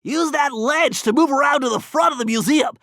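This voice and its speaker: A man's voice: very high-pitched, brisk, a bit bright, no room sound, studio quality. A man voicing a brainy, cautious turtle hacker in a cartoon video game, with a nasal voice and precise, wordy, slightly fussy delivery. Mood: instructing.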